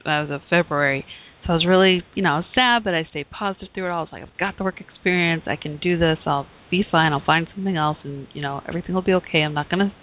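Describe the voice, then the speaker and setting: A woman who hosts a talk show, hoarse from bronchitis, giving a rambling, casual update with many fillers and restarts. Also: lowish voice, fast talker. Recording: tinny phone-like audio.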